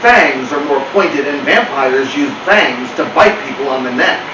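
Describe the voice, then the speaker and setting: A man's voice: medium pitch, lively intonation, unhurried, close to an everyday voice. A man voicing a bossy know-it-all pet cat, lecturing with insistent impatience. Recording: roomy, distant mic, clear noise.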